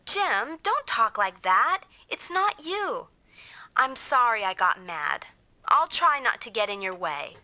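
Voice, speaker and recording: A sharp, high-pitched little girl in an anime game dub. She is petulant and quick to take offence, and her delivery swings widely up and down. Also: sing-song, dry recording, heavily acted. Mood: softened, concerned. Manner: sulky, petulant. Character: pouty, touchy young girl riding along as passenger. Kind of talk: anime-style video game dub acting.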